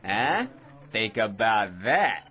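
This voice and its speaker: An actor playing a cocky, excitable working-class dreamer in a radio drama, with slangy, boastful patter. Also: male voice, fairly low pitch, very slow, exaggerated voice. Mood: boastful, persuasive. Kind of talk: old-time radio drama acting.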